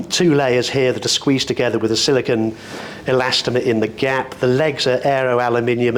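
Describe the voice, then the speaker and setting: A man's voice: medium pitch, a little hiss or hum. A British man presenting products at a hi-fi show, talking off the cuff in an articulate, discursive, wryly enthusiastic way with florid wording. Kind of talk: unscripted product demonstration talk.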